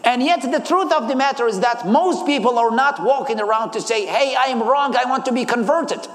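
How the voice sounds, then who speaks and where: A man's voice: extremely high pitch, brisk. A man in his thirties from Iraq with an Iraqi accent, giving a sermon and personal testimony. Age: 30s. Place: large hall, live talk. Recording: echoey hall with long reverb.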